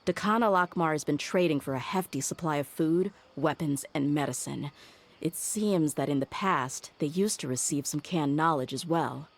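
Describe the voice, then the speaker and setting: A woman voicing a tough young female mercenary in a game cutscene, steady and loyal, thoughtfully reasoning things out aloud. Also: deep voice, moderate pace, slight background noise.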